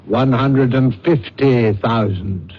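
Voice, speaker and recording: An actor playing a suave, pompous swindler who poses as a psychic detective, with precise theatrical delivery in a radio drama. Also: male voice, medium pitch, takes it slowly, husky. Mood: brisk, scheming. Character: suave, pompous male con-man posing as psychic detective. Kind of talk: old-time radio drama acting. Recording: muffled, hissy old radio recording.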